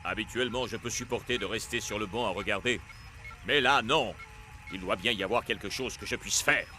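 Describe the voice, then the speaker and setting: A man playing a tough, stern team coach in an animated series, speaking emphatically. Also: on the low side, fairly quick, soft background music. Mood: stern, resolute. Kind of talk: animated series dub acting.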